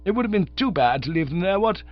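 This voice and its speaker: A man's voice: high-pitched, big pitch swings, fast, dull audio, faint music behind, pitched above the performer's own voice. A man voicing a breezy young Oxford student, rattling on chummily. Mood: breezy, chatty. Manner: rattling, chummy, full of "you know".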